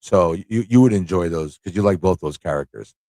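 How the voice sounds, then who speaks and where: A man's voice: low voice, a little fast, dark tone, no echo, studio quality. A man hosting a livestream fan chat, talking in a rambling, informal way with frequent rhetorical tag questions. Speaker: livestream talk show host.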